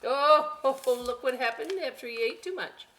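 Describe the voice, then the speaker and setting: A woman's voice: sits high, measured pace, fairly bright tone. A middle-aged woman reading picture books to young children at a library storytime, playful and conversational, with chatty asides. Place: inside a library.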